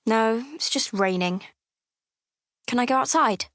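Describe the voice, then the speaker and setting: A young girl in an audiobook drama, bored and itching to explore. The voice is high and plaintive, persistent yet matter-of-fact, recorded dry and close. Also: unhurried, fairly bright tone, no echo. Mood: bored, insistent.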